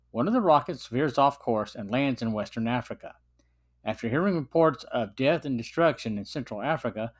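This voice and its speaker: A middle-aged or older man hosting a podcast, reading a prepared review in a measured way with occasional small stumbles. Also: medium pitch. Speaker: podcast host and film reviewer. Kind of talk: scripted podcast narration and review.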